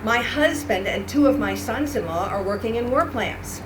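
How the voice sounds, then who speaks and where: A woman's voice: on the high side, lively intonation, moderate pace, lightly acted. A woman playing a proud 1940s war mother. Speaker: reenactor reading a radio script. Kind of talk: 1940s radio acting. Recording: noisy live event recording.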